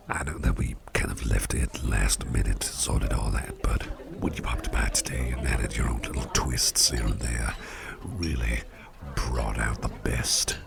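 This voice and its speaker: A man playing a suave, doting devilish businessman boss in a soft-spoken ASMR roleplay, murmuring with courtly, unhurried gratitude and slipping into gravelly whispers. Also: a bass voice, full character voice. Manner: courtly, unhurried, repeats phrases appreciatively. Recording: party crowd murmur behind voice. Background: crowd chatter.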